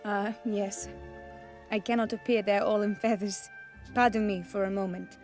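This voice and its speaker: A woman's voice: mid-range voice, steady tone, a little mellow, faint music behind, lightly acted. Dignified, resigned noblewoman under a curse voiced by an actress. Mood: composed, polite. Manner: formal, poetic, measured.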